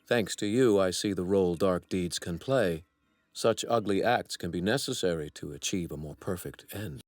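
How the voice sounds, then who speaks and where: A man's voice: low voice, normal speed, no echo. A man in an anime dub voicing an arrogant young apprentice god, a righteous villain who speaks formally and precisely with courteous menace. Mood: deferential. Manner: formal, haughty, precisely worded.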